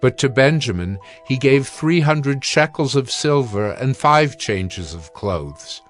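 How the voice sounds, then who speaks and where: A man narrating scripture in a measured, solemn reading. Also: medium pitch, expressive, faint music behind.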